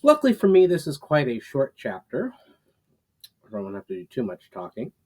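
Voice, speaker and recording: A man who is an author reading his own fantasy writing aloud in a storytelling style, a little halting in delivery. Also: mid-range voice, wildly swooping pitch, darkish tone, breathy edge. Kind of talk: casual intro, then dramatic storytelling read aloud.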